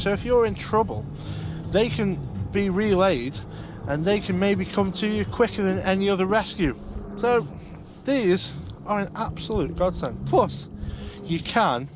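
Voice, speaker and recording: A man with a notably high voice talks casually to camera as he vlogs. He is enthusiastic and explanatory, recorded outdoors on a boat with clear water and boat noise behind him. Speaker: vlogger.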